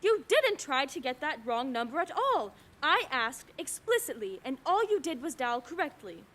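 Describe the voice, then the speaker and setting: A very high female voice from an actress plays a fretful, domineering wife in a suspense radio play. She is melodramatic and insistent, with nerves always near the surface. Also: big pitch swings, moderate pace, a bit bright. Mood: indignant, urgent. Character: nervous, imperious bedridden wife, alone and increasingly alarmed.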